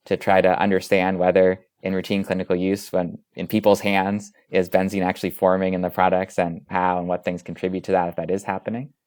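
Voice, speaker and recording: A man speaking as an expert interview guest, explaining in a measured way with long, winding sentences. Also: low-pitched, darkish tone, clear, resonant tone. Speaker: dermatologist and researcher, interview guest.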